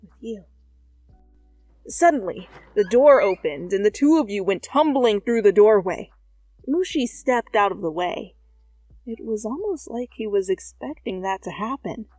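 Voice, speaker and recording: Young woman reading fanfic ASMR, casual and chatty with swearing asides, slow-paced, dry close mic. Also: medium pitch, expressive, no echo. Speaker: fanfic reader. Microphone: close studio mic. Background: soft music.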